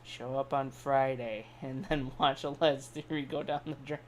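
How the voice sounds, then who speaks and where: A man's voice: medium pitch, steady tone, moderate pace. A man co-hosting a podcast with blunt, sweary, casual banter. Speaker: podcast co-host.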